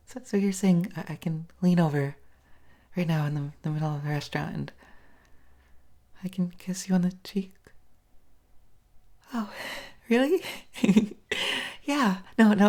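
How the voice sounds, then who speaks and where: Casting: woman playing a bashful, smitten first date in roleplay audio; low register, unhurried, halting, tipsy giggles, nervous stammer. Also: dark tone. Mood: flustered, delighted. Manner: nervous, stammering, fumbling over words, giggling often. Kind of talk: intimate roleplay audio monologue to the listener.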